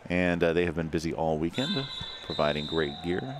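A man's voice: low voice, lively intonation, moderate pace. A man calling play-by-play for a live roller derby game, narrating the action. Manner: play-by-play, calls action, fillers like uh. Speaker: sports commentator.

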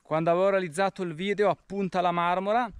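A man's voice: sits high, fairly even intonation, fast talker, smooth, no room sound. A man casually narrating a hiking vlog to camera, recorded outdoors. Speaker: hiking and amateur radio vlogger. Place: outdoors on a mountain trail. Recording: noisy outdoor recording with clicks.

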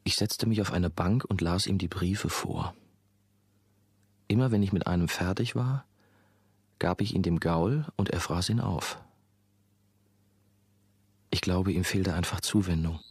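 An actor playing a troubled, wry young novice monk who narrates a radio play in a measured, introspective way. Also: male voice, low-pitched, slightly rough, dry recording, exaggerated voice. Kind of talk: scripted first-person radio play narration.

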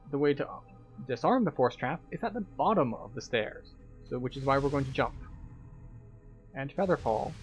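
A man with a mid-pitched voice gives a guided game commentary. He explains tactics step by step with a light playful touch, and music plays behind him. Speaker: host of a game playthrough guide.

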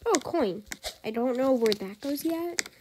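A woman's voice: sits high, animated. A young woman with a congested voice, casually chatting as she plays a game for her channel. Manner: chatty, slow-paced, says "like" often. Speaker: gaming channel host. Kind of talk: casual gameplay commentary. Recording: noticeable background noise.

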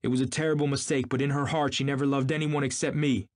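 A man's voice: mid-range voice, level tone, quick pace, a little mellow, dry recording, clean studio sound, pitched above the performer's own voice, close to an everyday voice. A man voicing an earnest, self-assured suitor with a polite, insistent manner in an audiobook. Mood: vivid, insistent. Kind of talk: audiobook character acting.

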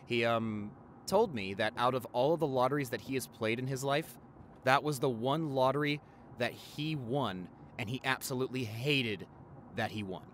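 A man's voice: medium pitch, moderate pace, fairly bright tone, slight background noise, close to an everyday voice. A man playing an affectionate doctor boyfriend in his forties, talking casually and a little hesitantly in an intimate audio roleplay. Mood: fond, reminiscing. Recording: car ride ambience behind the voice.

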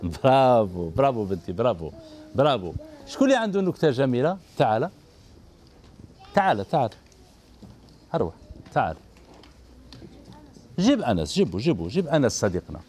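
A gentle, encouraging man with an Algerian Arabic accent hosts a children's TV show from an indoor studio set, holding a handheld mic. His voice moves lively up and down as he coaxes the kids, and a faint hiss sits under it. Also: mid-range voice, a little fast, pauses often. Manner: gentle, encouraging, repeats praise over and over. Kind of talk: coaxing kids on stage.

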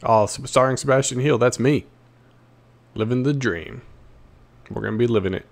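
A male gaming host with a medium-pitched voice. He comments casually on what he is playing, thinking aloud in an easygoing way. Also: moderate pace, vocal fry.

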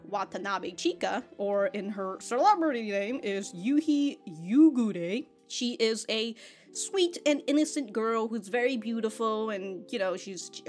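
A young woman casually reviewing an anime episode into a studio mic, rambling with restarts and quick laughs. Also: medium pitch. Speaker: anime reaction youtuber. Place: small room, home studio. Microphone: foam-covered studio mic in front.

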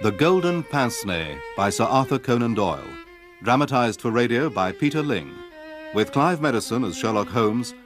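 A man announces a radio drama in a scripted style. His mid-range voice has lively intonation. Violin music plays clearly behind him. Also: mid-range voice, moderate pace. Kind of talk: scripted announcement of title and cast.

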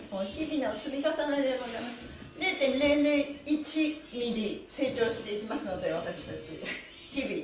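A middle-aged woman with a high-pitched voice guides a livestreamed exercise class. She is cheerful, polite and full of encouragement. The mic sits well away from her, so the bare room echoes around her words. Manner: cheerful, encouraging, laughing often; polite, playfully adds honorifics to body parts. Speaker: stretching instructor, livestream host. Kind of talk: live exercise class with counted chants. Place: small bare-walled room with wooden floor, indoors. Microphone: camera mic a few metres away. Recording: echoey room, distant microphone.

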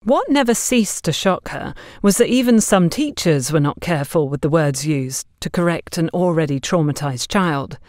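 Female audiobook narrator, scripted storytelling, slightly low voice, very varied intonation, expressive. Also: moderate pace, few pauses, no room sound, clean studio sound. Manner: expressive, acts out dialogue.

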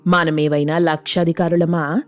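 Actress as thrifty rural wife, lowish voice, nagging and colloquial, for a storybook drama over light music. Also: female voice, level tone, normal speed, lightly acted. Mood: scolding. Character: scolding, thrifty village wife. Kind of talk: Telugu moral story voice acting.

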